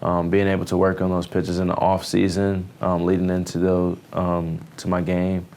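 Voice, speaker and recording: A young American man with a very deep voice and a flat, even delivery. He answers interview questions casually as an athlete, pausing on fillers as he finds his words. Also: a little hiss or hum. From Houston area, United States. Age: early twenties. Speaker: baseball pitcher, newly drafted player.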